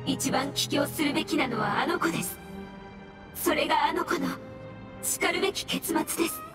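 A gentle floating mushroom spirit for a video game. The voice is high, polite and solemn, softly wistful. It sounds distant and echoey, with music clearly behind it. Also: female voice, wildly swooping pitch, moderate pace. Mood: pleading, gentle.

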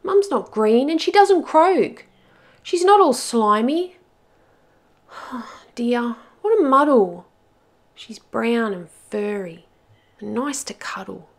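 A woman voicing a lost little monkey child in a storybook, pleading and exasperated, with emphatic, drawn-out words. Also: fairly high pitch, lively intonation, voice pushed up.

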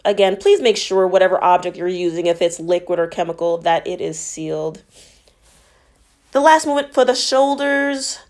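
A woman's voice: lowish voice, a little mellow. A woman narrating a workout as a fitness instructor, explaining each move in an encouraging, instructional way.

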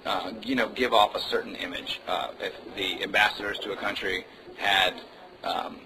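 A male musician chatting casually as an interview guest, relaxed and rambling, with lots of fillers. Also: fairly low pitch, normal speed, background noise. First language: Indonesian. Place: music club.

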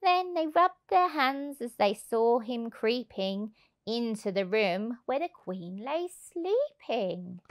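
A woman, around her thirties, reading a children's rhyming storybook aloud in an expressive, rhythmic way. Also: fairly high pitch, slow pace. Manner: animated, rhythmic, emphatic delivery. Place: indoors on a sofa. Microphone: clip-on lapel mic.

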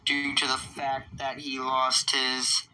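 A man's voice: medium pitch, completely flat delivery, slow pace, sharp tone, slight background noise, poor audio. A man playing a menacing, taunting monster villain in an improvised video game roleplay. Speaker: roleplay video creator voicing characters.

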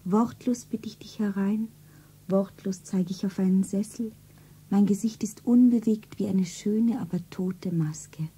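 A woman's voice: mid-range voice, mellow, poor audio. An actress playing a lovestruck, ordinary young working girl who earnestly narrates her own romance in a stylised 1970s radio play. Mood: hushed, wounded. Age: young adult. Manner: breathy, earnest, deliberate self-narration.

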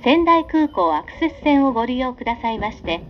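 A woman's voice: high-pitched, very animated, fairly quick. A woman reading scripted onboard train announcements in a polite, formal, carefully enunciated way. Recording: dull lo-fi recording with train noise. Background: train ambience.

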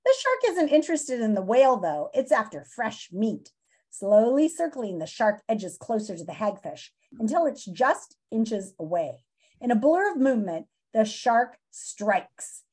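A woman in her fifties reading a children's nonfiction book aloud in a dramatic, teacherly way with emphatic pauses, on a video call from a room at home. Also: on the high side, very animated, a little mellow. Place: small home room, indoors. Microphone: video call, computer microphone.

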